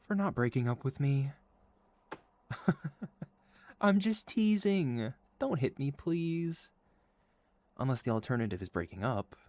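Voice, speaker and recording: A man playing a sweet, caring, gently teasing boyfriend in a soft, reassuring ASMR roleplay. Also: mid-range voice, no echo, heavily acted. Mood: relieved, teasing. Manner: soft, tender, reassuring, playful. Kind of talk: ASMR boyfriend roleplay, intimate scripted monologue.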